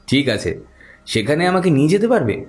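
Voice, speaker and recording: A man acting a calm, authoritative tantric holy man in a horror audio drama, giving instructions. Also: fairly high pitch, a little fast, voice pushed up. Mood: calm, instructing.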